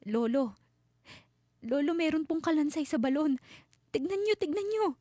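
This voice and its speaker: Give the part to a woman playing a terrified young granddaughter in an audio horror drama. The voice is raised and urgent, pleading tearfully, with a soft, dark tone and a dry, close sound. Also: high voice, lively intonation, normal speed, dry recording, pitched above the performer's own voice. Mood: panicked.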